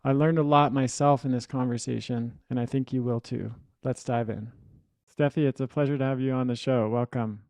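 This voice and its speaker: A man hosting a health podcast, reading a scripted introduction and then asking questions in a measured, friendly way. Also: medium pitch, fairly even intonation, darkish tone, no room sound. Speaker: podcast host.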